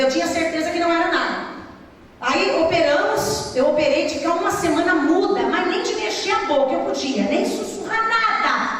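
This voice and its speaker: High-voiced woman preaching, echoing hall. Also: fairly bright tone. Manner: conversational storytelling with emphatic exhortations to the congregation. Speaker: pastor preaching to a congregation. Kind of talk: animated testimony sermon. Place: church hall during a service. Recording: echoey hall, distant mic, faint noise.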